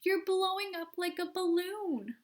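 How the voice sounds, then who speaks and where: A frantic mother shrieks in a storybook reading, a woman putting her on. She pitches up sharply and loud, her tone strained and unlike a plain speaking voice, screeching every demand. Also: a little slow. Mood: shrill panic. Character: shrill panicking middle-aged mother.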